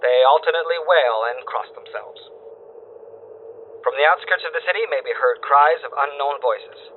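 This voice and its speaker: Horror audio drama: man to play doomed male telegraph operator, solemn, formal, dread-bound, slightly high pitch, tinny radio filter. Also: moderate pace, a little hiss or hum. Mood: grave reporting. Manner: solemn, theatrical, formal phrasing. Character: doomed male wire operator reporting horrors, terrified yet compelled. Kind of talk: dramatic horror story dispatch reading. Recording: thin phone-like radio band. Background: music.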